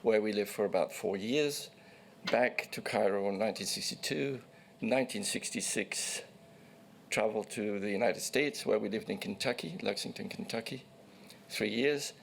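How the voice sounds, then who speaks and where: A man in his sixties, originally from Egypt, giving evidence as a witness at a formal hearing and recounting his career in a measured way, with small restarts. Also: lowish voice, creaky, a little hiss or hum. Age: 60s. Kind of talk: formal testimony.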